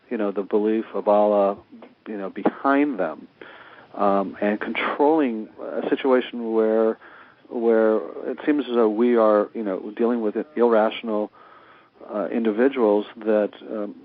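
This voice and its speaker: Low-voiced man calling in by phone. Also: no echo. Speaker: caller to a talk show. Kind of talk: call-in question, earnest and rambling. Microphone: telephone. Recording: thin, narrow phone line.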